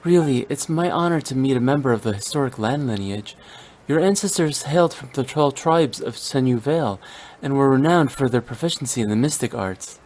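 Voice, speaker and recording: A woman's voice: extremely low pitch, animated, brisk, darkish tone. A woman playing a gracious, composed female civil official in a video game, speaking courteously. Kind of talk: animated game dialogue.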